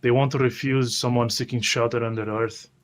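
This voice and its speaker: A man playing a brooding, bearded fantasy adventurer in a tabletop game, muttering haltingly and thoughtfully. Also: medium pitch, little pitch movement, lightly acted.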